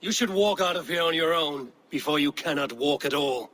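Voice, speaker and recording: Eivor, a man playing a calm, commanding Viking. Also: fairly high pitch, normal speed, close to an everyday voice. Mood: steady. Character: steady male Viking warrior leader, Eivor. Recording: game audio with ambient background.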